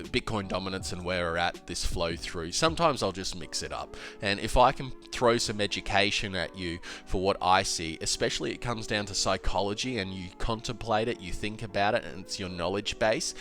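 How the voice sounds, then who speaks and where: A man with a stuffed-up, slightly nasal voice, talking casually and emphatically about trading in a solo video. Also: lowish voice. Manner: animated, emphatic, with filler and restarts. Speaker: crypto trading educator.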